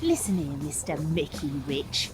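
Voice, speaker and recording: An actress playing a tough, sarcastic young rat boat captain with a British accent, brash and no-nonsense, in a video game. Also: female voice, low-pitched, sing-song, measured pace, noisy background. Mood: scolding.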